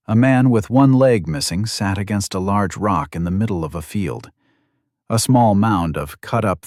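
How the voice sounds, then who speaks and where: Low-voiced male audiobook narrator. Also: a little mellow, no room sound, studio quality. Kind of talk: scripted narration of a fantasy novel.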